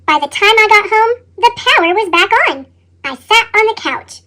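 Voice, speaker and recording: Chipmunk-pitched woman reading a children's storybook. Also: normal speed. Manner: exaggerated, expressive delivery with acted sound words. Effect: sped-up chipmunk pitch shift. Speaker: children's picture book reader. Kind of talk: animated read-aloud storytelling.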